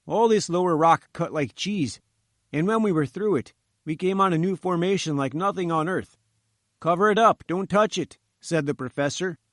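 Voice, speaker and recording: A man's voice: high-pitched, normal speed, dry recording, clean studio sound, voice pushed up, natural voice. A man voicing a nervous middle-aged chief engineer who talks anxiously and emphatically.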